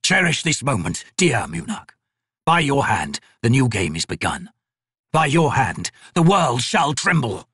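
A man voicing an ancient, sickly male god, speaking slowly and gravely with a sneer. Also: medium pitch, big pitch swings, fairly bright tone, no room sound, clean studio sound, voice pushed up, full character voice. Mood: cruel.

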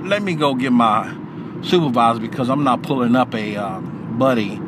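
A man's voice: medium pitch, normal speed. A man working customer service on the phone, speaking politely and hesitantly over a call replayed in a noisy car.

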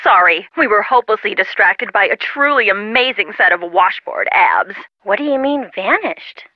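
A woman's voice: medium pitch, lively intonation, normal speed, no echo, lightly acted. An actress voicing a breezy, sardonic teenage American girl gossiping on a phone call in a video game. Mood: breezy, teasing. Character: chatty, teasing teenage American girl, loyal friend.

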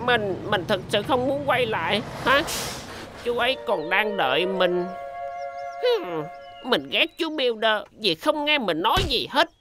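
A woman's voice: medium pitch, expressive, measured pace, over music, lightly acted. A woman voicing a young, childlike talking truck in a Vietnamese cartoon dub, muttering dramatically and easily scared. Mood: sulky, defiant.

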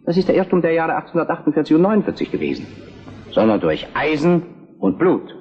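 A man's voice: fairly high pitch, very animated, fast talker, dull audio. An actor playing a resolute, combative Prussian statesman in a historical radio drama, with formal, emphatic oratory. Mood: combative, declamatory. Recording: very noisy archival-sounding radio play.

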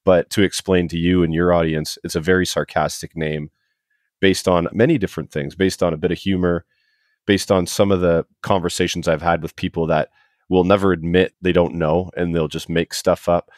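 A man in his forties from Canada with a very low voice, chatting as a podcast guest. He rambles through his stories in a loose, self-deprecating way. The sound is dry and close, with little room around it. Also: level tone, moderate pace, no echo. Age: 40s. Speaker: podcast guest, HVAC technician. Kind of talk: casual interview chat.

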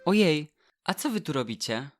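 Audio drama role: naive stone boy, played by a man, curious and a little timid, swooping high intonation, music underneath. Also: big pitch swings, normal speed. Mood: surprised.

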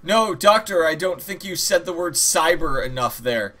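A man with a fairly high, bright voice hosts a gaming video. He rambles, jokes and swears as he plays, with a very lively delivery and mock-dramatic asides. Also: moderate pace. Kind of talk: casual gameplay commentary. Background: game music.

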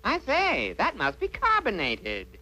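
Swooping, foppish young prince. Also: male voice, extremely high pitch, wildly swooping pitch, measured pace, exaggerated voice, poor audio. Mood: gallant. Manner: flowery, pompous, gushing. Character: handsome young prince, gallant, foppish and smitten. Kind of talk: cartoon voice acting.

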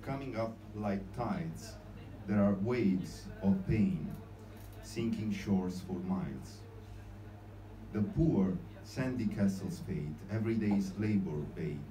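A young man at a small open-mic night, introducing and reciting poems in a hesitant, measured way, recorded on a handheld mic from out in the audience. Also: low voice, animated, warm tone, far from the mic. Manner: hesitant, many fillers when explaining. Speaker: poetry reader. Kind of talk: poetry reading at an open mic. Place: small cozy room with string lights, indoors. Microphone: handheld mic, filmed from far in the audience. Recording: echoey room recorded from afar, noisy.

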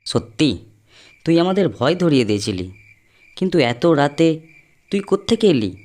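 A man's voice: fairly high pitch, big pitch swings, moderate pace, darkish tone. A man playing a chatty, teasing young man on holiday with friends in an audio story, talking in exclaiming colloquial phrases. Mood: surprised.

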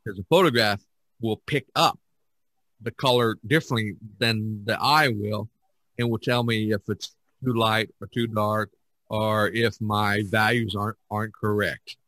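A man's voice: on the low side, steady tone, measured pace, fairly bright tone, dry recording, rough recording. An older man, a podcast host and painter, talking casually in a rambling, explanatory way.

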